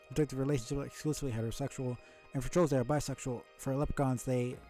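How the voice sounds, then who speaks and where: A man's voice: mid-range voice, steady tone, rapid-fire, mellow, soft background music, close to an everyday voice. A man voicing an eager, long-winded webcomic character who lectures in a wordy, earnest, pedantic way. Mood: earnest lecturing. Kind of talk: webcomic character read-aloud.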